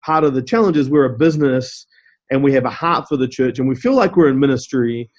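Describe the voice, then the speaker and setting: A man from New Zealand with a New Zealand accent, answering interview questions in a steady, explanatory way and often saying "you know". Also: mid-range voice, brisk. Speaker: guest, company CEO.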